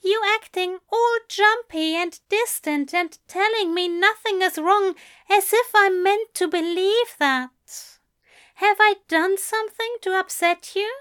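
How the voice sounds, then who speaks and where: Female narrator as fussy male angel, high voice, earnest, precise, pleading, anxious, audiobook character. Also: unhurried, a bit bright. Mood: hurt, pleading. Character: prim, anxious male angel, hurt and insistent.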